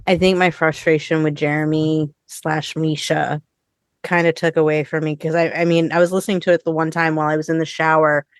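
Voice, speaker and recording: A woman hosting a podcast, chatting casually with co-hosts in an informal, expressive way with some swearing. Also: deep voice, brisk, no room sound, clean studio sound. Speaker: podcast host. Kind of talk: casual review chat with co-hosts.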